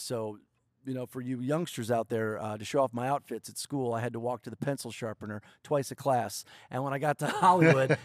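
A man's voice: medium pitch, no echo. A middle-aged man with an ordinary, mid-range voice spins playful, self-mocking stories as a guest on a podcast. He is recorded close and dry, with no room sound around him. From Detroit, Michigan. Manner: playful, jokey storyteller. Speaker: songwriter guest. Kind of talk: casual podcast storytelling.

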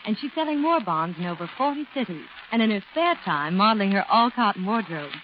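A woman's voice: mid-range voice, lively intonation, a little fast. A woman with an American accent delivering scripted fashion copy in a 1940s radio style. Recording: muffled, faintly noisy 1940s radio broadcast.

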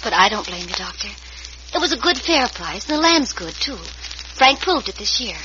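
An actress playing a gentle, anxious young frontier woman, speaking hesitantly in old-time radio melodrama style. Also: a little high, big pitch swings. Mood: worried. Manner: hesitant, halting. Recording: noisy, muffled vintage radio recording.

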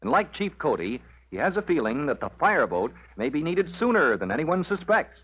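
A man's voice: a little high, big pitch swings, fairly quick, husky. A man announcing and narrating an old radio serial in a dramatic scripted style. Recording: muffled vintage radio recording.

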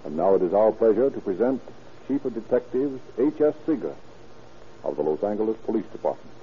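A man's voice: on the low side, lively intonation, fairly quick, a little hiss or hum. Formal 1930s male radio announcer, muffled sound. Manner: formal, polished salesmanship. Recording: muffled vintage radio recording.

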